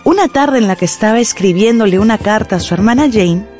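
A woman narrating a literary radio drama in an expressive, deliberate storytelling style. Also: medium pitch, soft background music.

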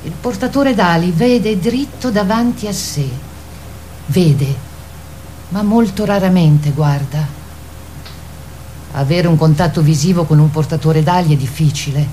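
Mellow, low-voiced woman narrator acted. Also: a little slow, long pauses, a little hiss or hum, lightly acted. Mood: measured. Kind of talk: literary radio theatre narration.

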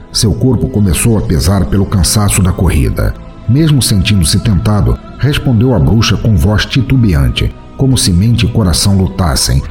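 Male narrator, Brazilian accent, very low pitch, dark mellow tone, scripted fantasy storytelling, faint music.

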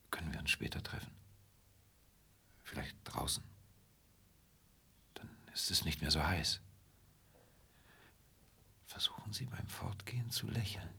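An actor playing an earnest, courteous gentleman drifter in a radio play, speaking in a formal, reserved manner. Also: low-pitched, creaky, husky, heavily acted. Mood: hushed, discreet. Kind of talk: German radio play acting.

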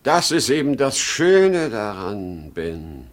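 A man's voice: fairly low pitch, very animated, slow pace. An actor playing a desperate, worn-out salesman in his sixties, urgent and pleading, in 1950s German radio drama style. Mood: fervent. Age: older. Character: desperate worn-out 63-year-old salesman plotting his death.

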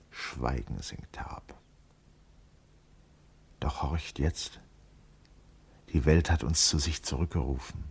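A low-pitched man muses gravely in a literary radio play. His delivery is very slow and solemn, each phrase set down like verse. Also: a little mellow, exaggerated voice. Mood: hushed, solemn. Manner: solemn, deliberate, poetic delivery. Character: grave, contemplative man musing on life and cosmos.

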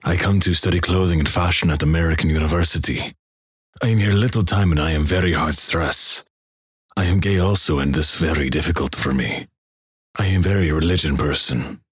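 Man doing a fake russian accent, anxious young student, broken english, very deep husky voice, earnest and deadpan. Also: brisk, no echo, studio quality.

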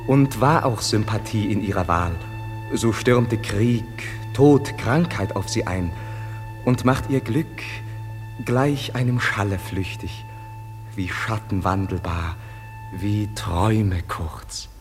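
A man's voice: fairly low pitch, takes it slowly, long reverb tail, faint music behind. An actor playing a passionate young nobleman in love, declaiming lyrically in a classical verse radio drama. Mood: tender, lamenting. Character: ardent, lovelorn young nobleman, defiant suitor.

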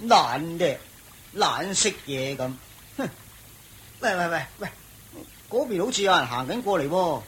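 A man's voice: fairly high pitch, very animated, unhurried, pauses often, fairly bright tone, slight background noise, poor audio. An actor playing a grumpy, superstitious male traveller in a Cantonese radio drama, stammering and blustering rudely in a Hong Kong accent. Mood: grumbling. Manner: blustering, repetitive, stammering exclamations. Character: grumpy, superstitious, easily spooked clansman traveller, rude.

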